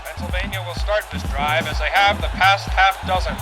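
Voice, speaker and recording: Man doing a 1920s radio football announcer, shouting, fast play-by-play, loud music behind. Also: high-pitched, fairly even intonation, crowd noise, close to an everyday voice. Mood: urgent.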